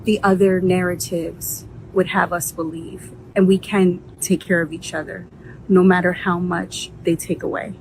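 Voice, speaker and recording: A woman's voice: lowish voice, little pitch movement, measured pace, gravelly, slight background noise, rough recording. A woman business founder giving an earnest, encouraging, faith-filled message. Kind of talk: heartfelt direct-to-camera message.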